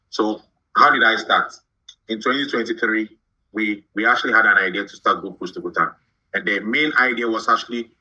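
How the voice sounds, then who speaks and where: Man, from Ghana, podcast guest, halting speech, somewhat low pitch, tense bright tone. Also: slightly rough, highs cut off. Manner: halting, repeats words while thinking. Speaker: guest, shoe brand founder. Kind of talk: podcast interview.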